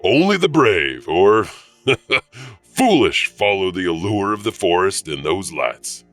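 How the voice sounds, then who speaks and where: An actor playing an eerie, teasing horror anthology host, narrating in a sly, theatrical, ominous way. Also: male voice, low voice, sing-song, slow, a bit bright, soft background music, exaggerated voice. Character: eerie, teasing male horror-tale host who chuckles. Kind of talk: theatrical spooky scripted narration.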